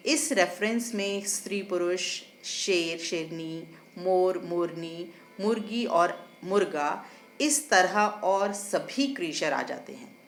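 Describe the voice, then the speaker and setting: A woman voicing a learned older male judge in a story, explaining philosophy in a measured, didactic way with emphatic English phrases. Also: lowish voice. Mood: explaining, solemn. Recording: roomy echo, faint background noise.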